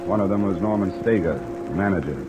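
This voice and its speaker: Male actor as hardboiled American radio sleuth, deep and understated, dryly wry, on dull old broadcast audio with rainfall. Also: a little fast, music in the background, natural voice. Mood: reflective narrating. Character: cool, wry freelance insurance investigator, a man. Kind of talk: 1950s American radio detective acting and first-person narration. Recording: muffled vintage radio recording, rain behind.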